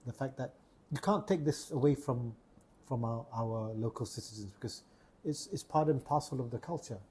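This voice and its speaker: A Malaysian-accented man from Sarawak talks through a relaxed podcast conversation. His voice is husky and dark, and he often stumbles and repeats words as he gathers his thoughts. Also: mid-range voice, moderate pace. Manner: hesitant, repeats words while searching for phrasing. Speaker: podcast host. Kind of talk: casual chat.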